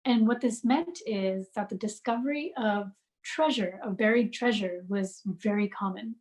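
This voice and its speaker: A woman in her forties from India answers an interviewer's questions. She tells her stories in a thoughtful, unhurried way. Her voice sits at a medium pitch for a woman. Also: a little mellow. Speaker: guest, archive founder.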